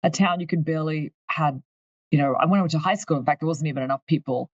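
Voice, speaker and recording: A woman's voice: low-pitched, fast talker. An Australian woman talks candidly and with energy as an interview guest. Her voice comes through a dull, band-limited remote line with almost no room echo. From far north Queensland, Australia. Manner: candid, lively storytelling. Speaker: guest, company finance chief. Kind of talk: conversational interview.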